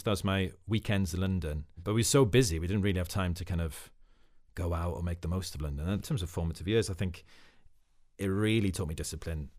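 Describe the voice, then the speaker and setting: Welsh actor guest, very deep voice, thoughtful and unhurried, young adult. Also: male voice. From Wales. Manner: thoughtful, reflective, with frequent fillers. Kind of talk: casual interview.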